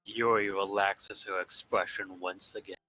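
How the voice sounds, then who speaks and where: A man reading the story narration in a plain, steady way. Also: low-pitched, unhurried, faint music behind.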